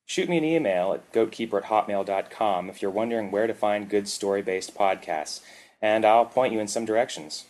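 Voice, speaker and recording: A man's voice: fairly low pitch, a little fast, a little mellow. A man hosting a podcast, introducing it with dry, offbeat humour. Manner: offbeat, dryly joking. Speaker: podcast host. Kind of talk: scripted podcast introduction and narration.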